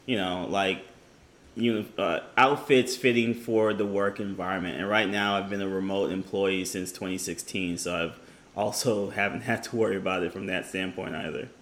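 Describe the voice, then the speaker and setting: Hesitant man with a lowish voice. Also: normal speed, a little hiss or hum. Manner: hesitant, lots of uh and like, animated when teased. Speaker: podcast co-host. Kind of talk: casual debate chat.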